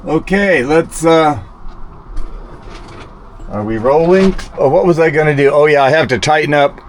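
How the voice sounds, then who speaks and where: A man's voice: a little high, measured pace. A man narrating a hands-on job casually, rambling a little as he thinks aloud. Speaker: vlogger installing a vintage radio. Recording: clear background noise, vehicle ambience.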